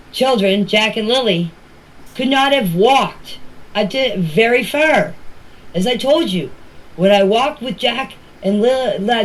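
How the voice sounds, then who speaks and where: A woman's voice: mid-range voice, poor audio. A middle-aged or older woman, giving an informal personal account in a plain-spoken, emphatic way and often repeating herself. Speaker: family member giving her account. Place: voice clips recorded for a group chat.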